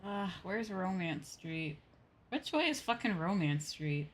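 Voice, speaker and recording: Woman, VTuber streamer, lively pitch, casual playful chat, self-muttering, faint noise. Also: measured pace.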